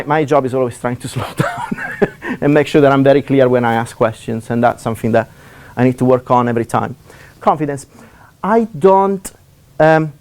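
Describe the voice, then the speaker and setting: Fast-talking Italian-accented male lecturer. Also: a little high. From Italy. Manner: fast, animated, lots of fillers and restarts. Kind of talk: seminar talk on assessment.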